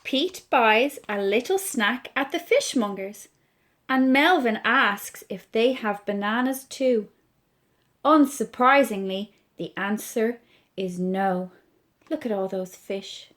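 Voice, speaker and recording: Slow, high-voiced Irish storyteller woman. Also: animated. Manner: slow, gentle storytelling with questions to listeners. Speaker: children's librarian. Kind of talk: reading a picture book aloud to children.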